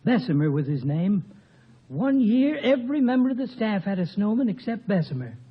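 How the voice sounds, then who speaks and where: A man's voice: sits high, sing-song, moderate pace, husky, full character voice. An actor playing a blunt, folksy, cheerful professor in his seventies in a 1950 radio comedy. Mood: blunt, wry. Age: 70s. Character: seventy-year-old outspoken, cheerful, tobacco-chewing male professor. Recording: muffled old radio recording.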